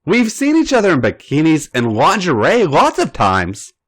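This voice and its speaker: A man's voice: on the high side, huge pitch swings, normal speed, a bit bright, pitched above the performer's own voice, full character voice. A man doing a bubbly young woman. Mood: eager.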